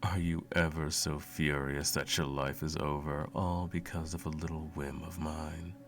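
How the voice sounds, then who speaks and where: A man playing a sadistic sorcerer in an ASMR roleplay, cooing mockingly and condescendingly at a captive pet in a low gravelly murmur. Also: little pitch movement, measured pace. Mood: cruel amusement. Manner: teasing, cooing, condescending, theatrical.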